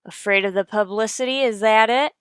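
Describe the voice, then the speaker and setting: A woman's voice: medium pitch, fairly quick, a bit bright, smooth, dry recording, studio quality, natural voice. A woman playing a tough, firm police detective, terse and pressing, in a radio detective drama. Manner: terse, hard-boiled, pressing. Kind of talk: old-time radio detective drama acting.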